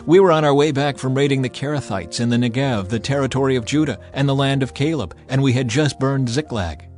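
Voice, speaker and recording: A man's voice: mid-range voice, brisk, darkish tone, faint music behind, voice pushed up, natural voice. Guy doing a tired young slave, plain and matter-of-fact. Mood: weary. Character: weary young male slave, frank and subdued.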